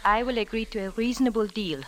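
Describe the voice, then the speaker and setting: A woman's voice: a little high, fast talker, fairly bright tone, natural voice. An actress playing a cool, scheming mystery woman, formal and commanding, in 1940s radio style. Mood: cool, haughty.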